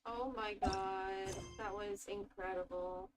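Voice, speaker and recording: A woman's voice: mid-range voice, fairly even intonation, slow pace, music in the background. A woman streamer giving casual, gushing, reactive commentary on a game playthrough.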